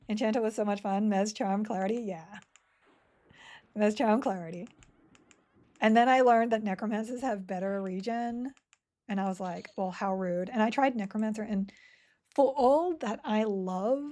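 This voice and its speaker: Chatty woman streamer with a mellow voice. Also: mid-range voice. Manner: chatty, rambling, giggly, reads chat aloud. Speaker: game streamer. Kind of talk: casual live-stream chat while playing.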